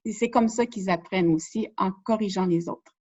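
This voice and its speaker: Intervieweuse au son terne. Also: female voice, medium pitch, a little fast, darkish tone. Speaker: interviewer, librarian. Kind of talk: conversational interview. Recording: dull lo-fi sound.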